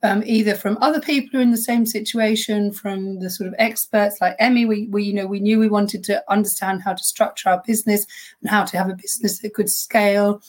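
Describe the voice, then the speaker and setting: A woman with a slightly husky voice, a small business co-founder answering interview questions in an earnest, reflective way with frequent restarts. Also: mid-range voice, fairly even intonation, fairly quick, a little mellow.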